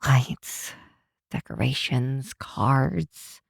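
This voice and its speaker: A woman's voice: very deep voice, very slow, gravelly, husky, no room sound, clean studio sound, exaggerated voice. A woman playing a cynical, sardonic but secretly affectionate grinch girl in an ASMR roleplay, drawing out words for emphasis. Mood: cynical ranting. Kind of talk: ASMR roleplay, scripted acting to the listener.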